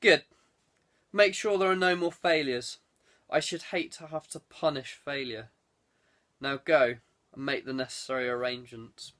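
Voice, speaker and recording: A man's voice: medium pitch, steady tone, unhurried, long pauses, bright, forceful tone. A man voicing an angry, menacing lord and crime boss in an audiobook, commanding and contemptuous. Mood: cold, commanding. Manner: commanding, contemptuous, bellowing when angered. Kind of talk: audiobook character dialogue.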